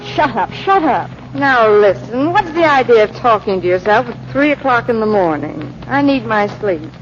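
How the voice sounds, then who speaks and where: A brassy, wisecracking woman of the world played by an actress in a 1940s radio play. Her voice sits fairly high, dry and bossy, on a dull recording with light hiss. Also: lively intonation, moderate pace, lightly acted. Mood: irritated. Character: wisecracking, worldly, much-married female roommate.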